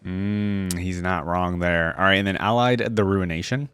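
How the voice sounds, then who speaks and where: Low-voiced man giving casual reactions. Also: fairly even intonation, normal speed, a bit bright, clean tone, no room sound. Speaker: reaction video host.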